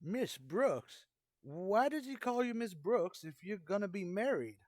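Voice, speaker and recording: A man's voice: sits high, expressive, measured pace, dry recording, studio quality. An actor playing a spry, cocky elderly man, folksy and blunt, in an old-time radio comedy. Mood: suspicious.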